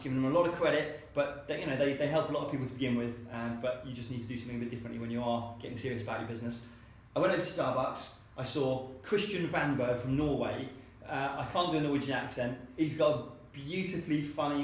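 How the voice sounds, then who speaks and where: A man, founder of a co-working space, telling stories in an interview in a chatty way and acting out the lines of the people he quotes. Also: medium pitch. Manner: chatty, acts out quoted dialogue. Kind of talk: anecdotal storytelling interview. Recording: very echoey room with faint hiss.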